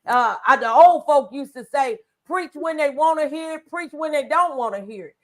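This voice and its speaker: High-pitched woman hosting inspirational talk. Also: lively intonation, moderate pace. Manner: exhorting, conversational. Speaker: talk show host.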